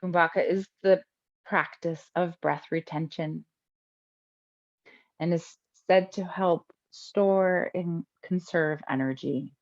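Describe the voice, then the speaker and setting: Low-pitched woman guiding meditation, muffled sound. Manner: calm, unhurried instructing with long pauses. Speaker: yoga and meditation teacher. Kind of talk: guided breathing meditation, live class.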